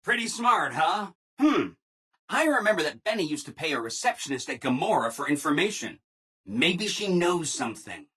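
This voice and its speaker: An actor playing a relentlessly cheerful, eager-to-please robot assistant in a video game, with a chipper, ingratiating, singsong delivery. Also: male voice, fairly high pitch, very animated, normal speed, fairly bright tone, breathy edge, full character voice. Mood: upbeat, explanatory. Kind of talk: video game character dialogue.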